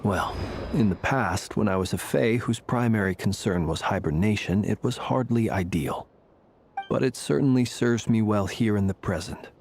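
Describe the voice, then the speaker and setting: An actor playing a calm, battle-worn fae warrior in a video game, speaking in a formal, measured and eloquent way. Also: male voice, fairly low pitch, vocal fry, soft background music. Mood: composed, courteous. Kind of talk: scripted video game dialogue.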